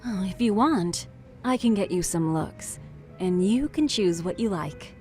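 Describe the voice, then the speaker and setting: A woman voicing a playful, flattering, worldly barroom confidante in a video game English dub, coquettish and teasing. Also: mid-range voice, measured pace, faint music behind, lightly acted. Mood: eager.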